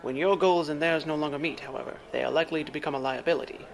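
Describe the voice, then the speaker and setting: Sci-fi drama aide, male actor: analytical, formal man, slightly high and gravelly, exact diction. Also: steady tone, a little hiss or hum. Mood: calm, analytical. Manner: measured, formal, exact wording. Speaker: adviser to the clan leader. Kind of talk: science fiction audio drama.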